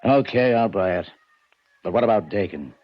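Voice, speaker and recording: Gruff detective, lowish voice, slangy, skeptical, matter-of-fact, 1940s radio drama, dull recording. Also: male voice, lively intonation, moderate pace, dry recording. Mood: skeptical, mulling.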